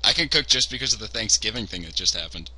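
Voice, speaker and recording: A man's voice: lowish voice, lively intonation, fast, background noise. A man co-hosting a casual livestream podcast, joking crudely, swearing and laughing at his own jokes. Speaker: podcast co-host.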